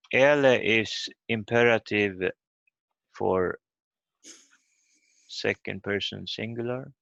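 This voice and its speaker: A man teaching an online language lesson in a halting, hesitant way over a muffled call. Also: fairly low pitch, creaky, a bit bright, smooth, no room sound.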